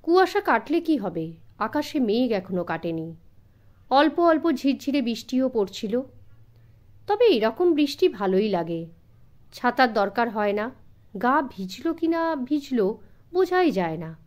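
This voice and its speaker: A woman narrating a suspense story for children in an expressive, measured scripted storytelling style. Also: on the high side. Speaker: audiobook narrator.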